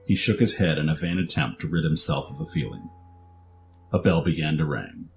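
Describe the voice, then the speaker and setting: A man narrates a dramatic story in a very low-pitched voice. Faint music plays beneath his scripted telling. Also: animated, moderate pace, creaky.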